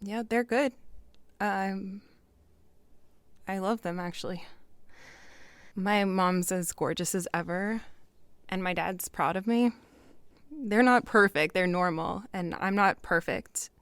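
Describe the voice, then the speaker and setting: Anxious young woman, smooth clear voice, dry close sound, wry, candid, halting confession. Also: medium pitch, a bit bright, dry recording. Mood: reflective, resigned. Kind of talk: naturalistic audio drama dialogue.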